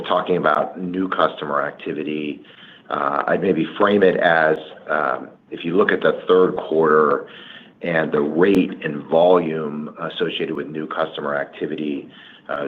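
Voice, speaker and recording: A man speaking as a company executive, answering analysts on an earnings call with measured, hesitant corporate phrasing on conference audio. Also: extremely low pitch. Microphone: conference call line. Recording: dull conference audio, faint noise.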